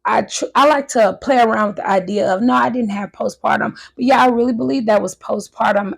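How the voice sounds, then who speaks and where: A woman in her thirties chatting casually and a bit nervously to her viewers, her voice hoarse from recently losing it. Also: mid-range voice. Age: 30s. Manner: chatty, nervous, talks straight to viewers as "y'all". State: voice recovering from loss, hoarse. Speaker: vlogger. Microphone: handheld wireless mic.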